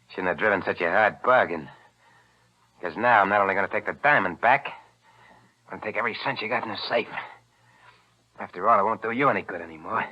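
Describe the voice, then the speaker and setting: A man's voice: on the low side, a little fast, long pauses, close to an everyday voice. An American actor playing a brash, impatient hard-boiled crook in a 1940s radio thriller, with curt, slangy tough-guy snap. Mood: coldly gloating. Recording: muffled, noisy old 1940s radio recording.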